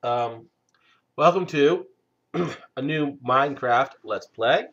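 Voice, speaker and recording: A man hosting a game let's play, stuffed up from a cold, talking slowly with many hesitant fillers. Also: mid-range voice, fairly bright tone, muffled recording. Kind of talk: casual gameplay commentary.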